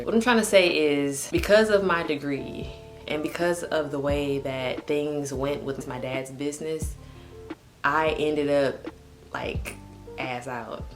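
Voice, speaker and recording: A woman in her late twenties talking candidly to camera in a casual vlog, full of "like" and "um". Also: extremely low pitch. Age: 20s. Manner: candid and chatty, heavy use of "like" and "um". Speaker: vlogger. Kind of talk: casual personal talk to camera.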